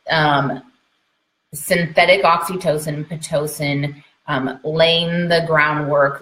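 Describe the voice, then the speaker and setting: A woman's voice: sits low, fairly bright tone, slight background noise. A woman giving an informal educational talk to an online audience, emphatic, repeating key points and checking in as she goes. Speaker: speaker, midwife and trainer. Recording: roomy, echoey sound.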